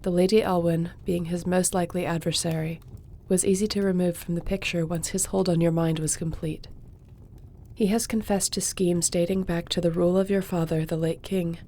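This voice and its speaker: Woman playing a composed, mellow-voiced court sorceress. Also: on the low side, fairly even intonation, moderate pace, slight background noise, lightly acted. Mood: composed, supportive. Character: loyal, composed court sorceress advising her king. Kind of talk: audio roleplay fantasy acting.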